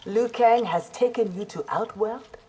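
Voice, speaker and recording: A woman with a Nigerian accent playing a proud, principled armoured ninja in a video game, speaking in measured, formal, defiant lines. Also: fairly high pitch, warm tone, slightly rough, poor audio. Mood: composed, wry. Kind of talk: video game fight dialogue.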